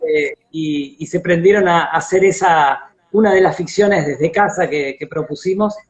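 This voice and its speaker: A man's voice: on the high side, normal speed. A man with an Argentine accent hosting a casual live interview, appreciative and wordy, over an internet video call. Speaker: interview host. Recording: dull lo-fi livestream audio.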